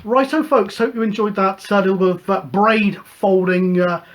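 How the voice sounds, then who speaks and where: Man from the UK, high-pitched voice, casual hobby show host, chatty, rambling, hesitant explanations. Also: steady tone, moderate pace.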